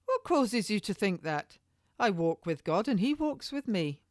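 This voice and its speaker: A woman reads as a defiant accused lady in a historical audiobook. She is quick-witted and indignant, her formal old-world speech lifted in pitch, recorded dry and close. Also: sing-song, a little mellow, no room sound. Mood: wryly defiant.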